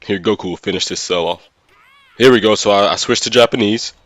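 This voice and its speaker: Very low-voiced man on a casual livestream. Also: brisk, a bit bright, no room sound. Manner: informal, slangy, checks in often with listeners. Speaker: streamer and host of a gaming channel.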